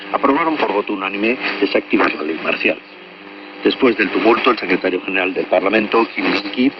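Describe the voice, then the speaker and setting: A male radio announcer with a fairly low voice reads scripted news formally and with a measured delivery. He comes through a shortwave broadcast, distant from the listener, with clearly audible noise over his words. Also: lo-fi sound.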